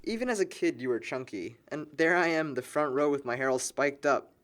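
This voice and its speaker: A man's voice: a little high, lively intonation, brisk, heavy background noise, natural voice. A man playing a sarcastic, needling young man among longtime friends in an amateur audio drama. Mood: teasing.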